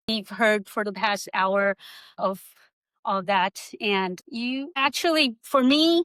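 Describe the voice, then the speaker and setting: A woman's voice: a little high, a bit bright, clean tone, no echo, low-quality recording. A middle-aged woman from Taiwan giving an informal workshop talk, rambling and conversational, with non-native English grammar. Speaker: workshop presenter, acupuncturist.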